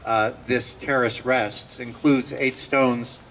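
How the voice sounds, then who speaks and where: A man's voice: mid-range voice, steady tone, slow pace, vocal fry, fairly bright tone. A man, a rabbi, giving an informal address at an outdoor ceremony. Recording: distant mic, faint outdoor noise.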